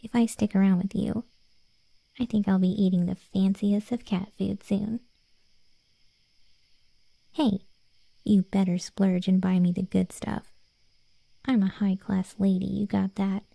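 A woman voicing a smug, conceited undead cat girl in a soft, teasing ASMR roleplay. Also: medium pitch, level tone, a little slow, vocal fry, long pauses, no room sound. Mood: haughty. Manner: soft, teasing, self-important. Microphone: close, dry studio-style mic.

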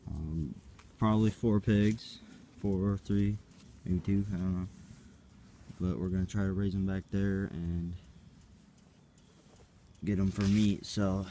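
Guy rambling thru his farm tour outside, low voice, kinda dark tone, phone mic. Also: slight background noise. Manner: rambling, hesitant, unsure of plans. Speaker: farm vlogger. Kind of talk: casual walkaround tour. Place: outdoors on a farm yard and woods.

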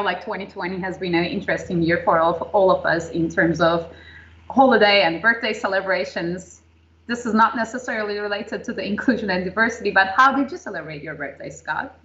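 A woman's voice: lowish voice, fairly bright tone. A woman in her thirties hosting a livestream interview over a video call, chatty and friendly, with filler words. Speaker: host. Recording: muffled video call audio.

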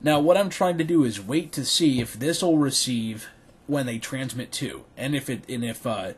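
A man giving a casual hands-on tutorial as a video creator, thinking aloud haltingly in a small bedroom. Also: mid-range voice, breathy edge. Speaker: video creator demonstrating radio gear.

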